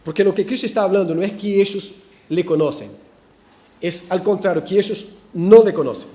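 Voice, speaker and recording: A man preaching a verse-by-verse sermon in a church, animated, with ironic asides and frequent restarts. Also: sits high, a little fast. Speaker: pastor. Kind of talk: expository sermon.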